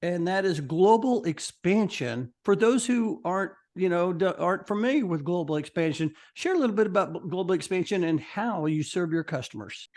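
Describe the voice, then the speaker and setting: An upbeat male podcast host with a fairly high voice for a man. He talks with eager enthusiasm, often restarting his sentences and adding fillers as he introduces and questions his guest. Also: expressive, moderate pace, few pauses, a little mellow. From Texas.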